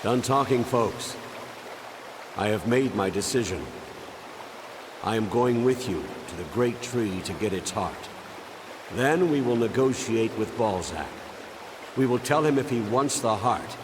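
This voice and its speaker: An actor playing a sober, plain-spoken townsman in a video game, measured and earnest. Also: mid-range voice, animated, big-room sound, background noise, natural voice. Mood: resolute, solemn. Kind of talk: video game cutscene acting.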